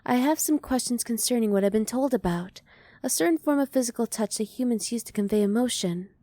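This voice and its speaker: A woman playing a curious, emotionally reserved female alien in an ASMR roleplay, soft-spoken, with formal, measured and precise wording. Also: fairly high pitch, animated, no room sound, lightly acted.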